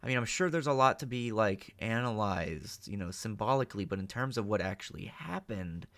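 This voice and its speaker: A man giving casual reaction commentary, thinking aloud in a halting, tentative, musing way. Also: on the low side, animated, a bit bright, dry recording. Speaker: host of a reaction channel.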